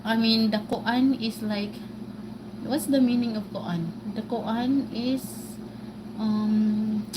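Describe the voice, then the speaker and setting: A woman's voice: a little high, slow pace, background noise. A woman vlogger with a Filipino Bisaya accent, talking live to her viewers in a rambling way, often stopping with filler words and restarting. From Philippines. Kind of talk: live-stream rant to viewers.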